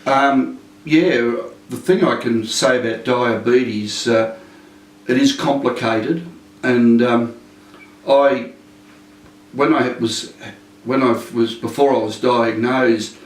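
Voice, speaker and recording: An older man, a retired police drill instructor, being interviewed in a casual, rambling way with many fillers and restarts and a naturally loud voice. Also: mid-range voice, steady tone, slow, long pauses. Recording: echoey room, mic at a distance.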